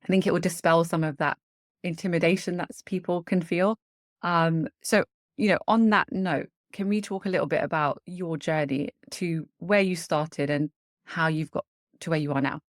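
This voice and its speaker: A woman's voice: on the low side, steady tone, normal speed. A woman hosting a podcast, talking in an eager, earnest and encouraging way. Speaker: podcast host. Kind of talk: casual interview and spoken intro.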